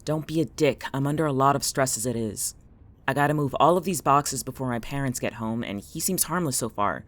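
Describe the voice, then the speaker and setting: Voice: medium pitch, fairly quick, lightly acted. An actor playing a stressed, guarded young woman in an audio drama, snappy and sarcastic. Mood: defensive. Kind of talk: naturalistic audio drama dialogue.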